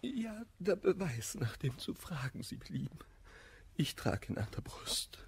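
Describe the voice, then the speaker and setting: Jilted, melodramatic gentleman, wildly swinging pitch. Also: sing-song, a little slow, vocal fry, breathy edge, heavily acted. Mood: furious, indignant. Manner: theatrical, anguished verse declamation. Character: jilted, hot-tempered gentleman lover, wounded and melodramatic. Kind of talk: German radio play of classical verse comedy.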